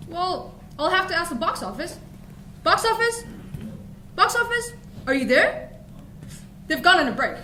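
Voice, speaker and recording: A woman's voice: very high voice, very animated, a little slow, long pauses, bright, forceful tone, heavily acted. A woman acting a flustered, evasive theatre usher in an amateur stage comedy. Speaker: student actor in a school play. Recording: echoey stage recording with background noise.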